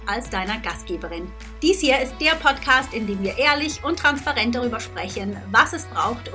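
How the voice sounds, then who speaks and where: A woman in her thirties hosting a podcast interview, lively and enthusiastic, often slipping in English phrases. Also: fairly high pitch. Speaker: podcast host.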